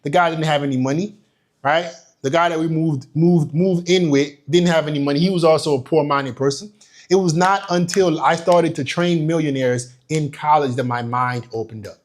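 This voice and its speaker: A man's voice: on the high side, darkish tone. A young man from Nigeria giving a motivational business talk, emphatic and profane, hammering points home by repeating them. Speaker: business coach.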